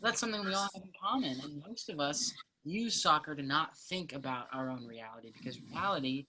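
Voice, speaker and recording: An actor playing a charming, earnest college soccer player in a virtual reading of a stage play. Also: male voice, a little high, fairly quick, a crowd in the background, lightly acted, low-quality recording. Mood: wistful, earnest. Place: remote virtual reading over video call.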